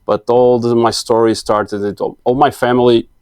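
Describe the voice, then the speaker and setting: Portuguese-accented man, halting speech. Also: mid-range voice, level tone, darkish tone, clear, resonant tone, no room sound, clean studio sound. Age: 30s. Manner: hesitant, many fillers and restarts. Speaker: guest engineer. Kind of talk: casual interview chat.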